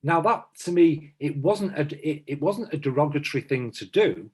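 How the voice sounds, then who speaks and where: A man's voice: on the high side, animated, moderate pace, darkish tone, breathy edge. A man in his fifties from south Manchester who talks emphatically as a podcast co-host, repeating himself to stress his points. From south Manchester, England. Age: 50s. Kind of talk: casual chat.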